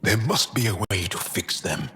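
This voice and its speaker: An actor playing an earnest, formal, grieving alien crew member in a video game. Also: male voice, on the low side, animated, moderate pace, fairly bright tone. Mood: wounded, earnest. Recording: reverberant room with background music.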